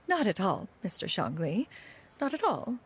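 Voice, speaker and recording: A woman's voice: medium pitch, huge pitch swings, slow pace, slight background noise. An actress playing a proud, dignified female mentor and collector in a video game, with lofty, formal, archaic speech. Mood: awkwardly gracious. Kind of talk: scripted game dialogue.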